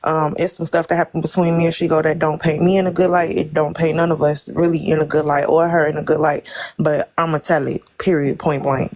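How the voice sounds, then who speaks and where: A woman's voice: sits low, flat delivery, a little fast, a little mellow. A woman guest on a live stream, recounting events in an emphatic, storytelling way.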